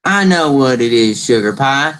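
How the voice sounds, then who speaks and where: A man's voice: mid-range voice, expressive, moderate pace, fairly bright tone, lightly acted. A man playing a bumbling, stubborn poor farmer in a mock radio play, hesitant and wavering between formal and folksy wording. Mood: stubborn, indignant.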